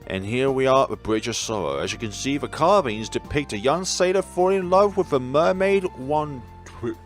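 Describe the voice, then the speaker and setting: A man's voice: medium pitch, animated, normal speed, faint music behind, lightly acted. A man voicing a polite, teasing young male gondolier and tour guide in a visual novel. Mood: showy.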